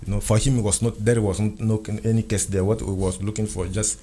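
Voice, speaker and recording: A man's voice: lowish voice, flat delivery, fairly quick, warm tone. A man whose first language is French, telling his story in English in an earnest way, often repeating and restarting phrases. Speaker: guest. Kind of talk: recounting a personal ordeal in conversation.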